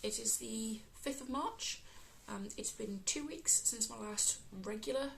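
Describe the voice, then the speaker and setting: A woman with a West Midlands accent, hosting a casual crafting podcast, croaky from a cold and filling pauses with ums. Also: a little high, a little hiss or hum, poor audio. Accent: West Midlands English. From England. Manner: chatty, many ums, unhurried.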